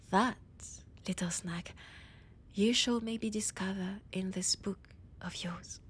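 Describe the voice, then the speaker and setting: A woman playing a condescending, teasing vampire scholar in an audio roleplay, with a French accent and a breathy, husky voice that often drops to a whisper. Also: mid-range voice, slow, pauses often. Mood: cryptic, teasing. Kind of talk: scripted audio roleplay addressed to the listener.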